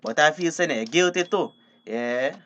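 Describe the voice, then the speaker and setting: A man's voice: fairly high pitch, expressive, normal speed, fairly bright tone. A man acting a teasing, suspicious fisheries officer in a radio drama, with a casual, slangy Belizean Creole accent.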